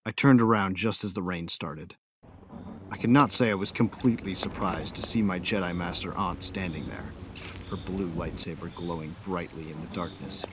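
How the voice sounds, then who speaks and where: A man's voice: sits low, normal speed, a bit bright, a little hiss or hum. A man playing a hot-tempered warrior who narrates his own battles in an audio drama, intense and sardonic. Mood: cold, defiant.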